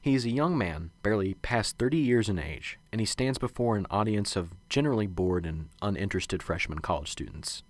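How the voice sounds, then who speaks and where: A man's voice: on the low side, lively intonation, fairly quick, few pauses, no room sound. A man playing a formal, presentational announcer narrating a radio mystery serial.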